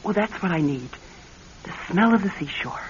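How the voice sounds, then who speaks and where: A woman's voice: lowish voice, lively intonation, normal speed, close to an everyday voice. A woman playing an anxious, affectionate wife in a classic radio drama, hesitant and emotional. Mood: tired, affectionate. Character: anxious, affectionate wife haunted by her late husband. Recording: dull, hissy old radio recording.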